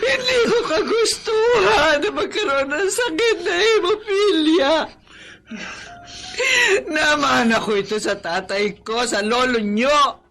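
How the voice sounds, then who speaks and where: An anguished, high-voiced Filipina mother. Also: fairly bright tone, full character voice. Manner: emotional, tearful, pleading. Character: anguished, protective Filipina mother of sick sons. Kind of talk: Tagalog radio drama acting.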